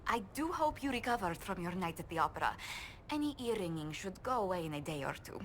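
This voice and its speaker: A refined, courteous diplomat. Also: female voice, lowish voice, big pitch swings, fast talker, a bit bright, a little hiss or hum. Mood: concerned. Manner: formal, considerate. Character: polite, caring, refined female diplomat. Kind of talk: video game character dialogue.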